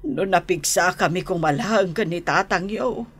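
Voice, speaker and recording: A woman's voice: lowish voice, moderate pace, fairly bright tone, breathy edge. A woman acting a worried, doting elderly mother in a radio drama, with an Ilocano accent and an anxious, plaintive delivery.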